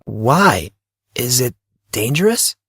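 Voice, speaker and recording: A man's voice: mid-range voice, sing-song, takes it slowly, fairly bright tone, husky, no room sound, studio quality, pitched above the performer's own voice, exaggerated voice. A man voicing an awkward, self-doubting teenage boy in an audio drama, stammering and exasperated. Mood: unsure. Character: awkward, flustered, self-doubting fourteen-year-old high school boy. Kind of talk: audio drama character acting.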